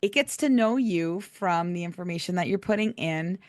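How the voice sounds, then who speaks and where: Woman, podcast host, hoarse, raspy voice, chatty, laughs often, dry close sound. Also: on the low side, normal speed, no room sound. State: hoarse from laryngitis. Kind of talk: casual chat.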